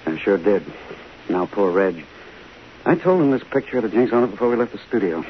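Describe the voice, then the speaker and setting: An actor playing a smooth, flirtatious Hollywood leading man in a 1950s radio drama. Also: on the low side, animated, brisk, lightly acted. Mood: confiding. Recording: hissy, muffled 1950 radio broadcast.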